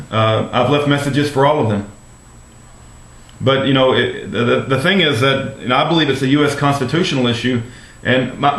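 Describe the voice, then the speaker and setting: A roomy, echoing recording of an American man on a phone call. His pitch is average for a man. He speaks as an earnest, courteous advocate, often hesitating and circling back over his phrases. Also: a bit bright. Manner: hesitant, repetitive, polite. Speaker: activist caller phoning a congressman's office.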